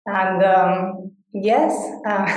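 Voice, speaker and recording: Middle-aged woman guest, unhurried and hesitant, very echoey room. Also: medium pitch, animated. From Mexico. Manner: hesitant, pausing with many fillers and soft laughs. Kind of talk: casual interview chat.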